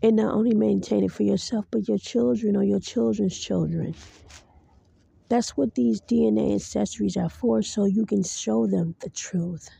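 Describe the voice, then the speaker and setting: Podcast host, female, earnest and preachy, very dark gravelly voice, dry close sound. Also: medium pitch, fairly even intonation, moderate pace, no echo. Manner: earnest, preachy, speaks to listeners directly. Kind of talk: solo commentary.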